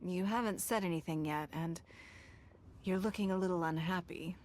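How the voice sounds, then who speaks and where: An actor, a woman, playing a poised, gracious and shrewd noblewoman in a video game, composed and measured. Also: fairly low pitch, darkish tone, close to an everyday voice. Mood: fond, gracious.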